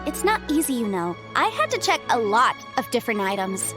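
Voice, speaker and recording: A woman's voice: on the high side, big pitch swings, brisk, music in the background. An actress voicing a breezy, self-assured young woman consultant in a video game, articulate and playfully defensive.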